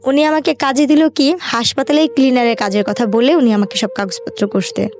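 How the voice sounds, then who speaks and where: A woman's voice: high-pitched, steady tone, fairly quick, a little mellow. A young woman with a Bangladeshi accent tells her life story in an interview, colloquially, in run-on sentences with quoted conversations.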